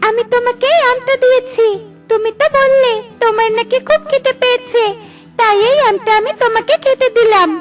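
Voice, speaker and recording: Casting: woman to voice sweet-natured mango tree, humble and earnest, extremely high pitch, kids' cartoon with music. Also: steady tone, brisk. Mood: kindly. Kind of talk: children's cartoon dialogue.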